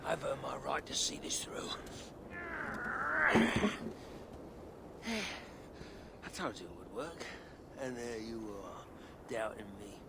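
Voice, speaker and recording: An actor playing a scrappy, talkative, cocky dwarf in a video game, folksy and stubborn. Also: male voice, mid-range voice, expressive, measured pace, vocal fry, long pauses, heavy background noise. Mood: faltering, weary. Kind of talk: video game cutscene acting.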